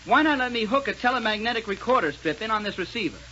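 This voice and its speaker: A polite, helpful communications operator with a high, bright voice and fast delivery. He sounds deferential and curious in old radio-serial style. The recording is dull and hissy. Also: lively intonation. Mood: attentive, curious. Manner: helpful, inquisitive. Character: eager, deferential male radio-bureau operator. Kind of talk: 1930s radio serial acting.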